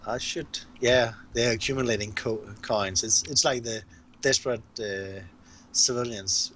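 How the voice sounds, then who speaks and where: A man from Denmark with a Danish accent, a game designer chatting casually and haltingly while playing a board game. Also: mid-range voice, a bit bright, a little hiss or hum.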